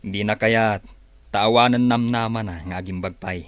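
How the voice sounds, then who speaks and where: A man's voice: lowish voice, fairly even intonation, normal speed, a bit bright, clean tone, no echo, close to an everyday voice. An actor playing a bossy, scheming, impatient man in an Ilocano radio drama. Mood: scheming, dismissive. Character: bossy, scheming male relative pushing papers to sign.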